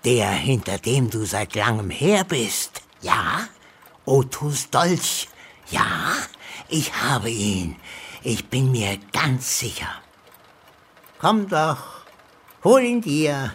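An actor playing a sly, seemingly confused old emeritus professor in a radio drama, with theatrical, chuckling delivery. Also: male voice, medium pitch, very animated, extremely slow, a bit bright, a little hiss or hum, exaggerated voice. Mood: agitated, insistent. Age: older. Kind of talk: German radio drama acting.

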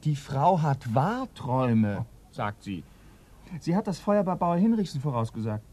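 A courteous and earnest police assistant in a radio crime play. His voice is husky and mellow, with a lively melody, and he speaks hesitantly, feeling his way through sentences. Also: mid-range voice, unhurried. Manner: hesitant, courteous, repeats himself. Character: polite, hesitant, earnest male police detective assistant, bird enthusiast. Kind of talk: German radio drama acting.

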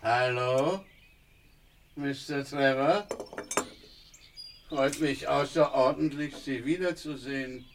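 A man's voice: mid-range voice, slow pace, pauses often, noisy background. An actor playing a shabby older man in a radio drama, slyly familiar and falsely polite, with a drawling delivery. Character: small shabby old male acquaintance, slyly familiar, menacing. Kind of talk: German radio drama acting.

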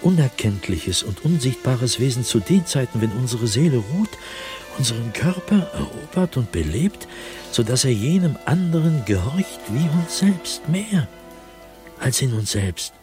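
An actor playing a tormented man sliding into madness, recounting his nightmares as a diary in a radio drama, in a brooding, halting manner that swings between hushed and agitated. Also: medium pitch, very animated, faint music behind. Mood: agitated questioning. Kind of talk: dramatic radio play monologue.

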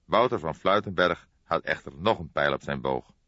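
A man reading a crime story as an audiobook narrator, in a measured storytelling manner. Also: very deep voice, expressive, bright, forceful tone, no room sound, muffled recording.

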